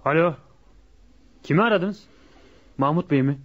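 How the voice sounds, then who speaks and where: A man's voice: fairly high pitch, measured pace. An actor playing a deferential male gangster's underling in a radio play, hesitant and full of ers. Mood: nervous, deferential. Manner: hesitant, stammering fillers. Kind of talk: Turkish radio theater acting. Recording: dull lo-fi radio recording.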